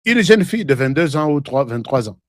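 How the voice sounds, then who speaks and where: A man's voice: medium pitch, big pitch swings, brisk, no echo. Emphatic Congolese male commentator, dry sound. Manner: emphatic, repeats himself, asks rhetorical questions; switches between French and Lingala. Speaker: political commentator. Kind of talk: political commentary with played clips.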